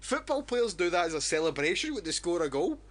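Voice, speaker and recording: A man's voice: sits high, very animated, fairly quick. A man co-hosting a wrestling podcast, chatty and argumentative. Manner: chatty, argumentative, laughs often. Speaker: podcast co-host. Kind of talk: casual wrestling chat.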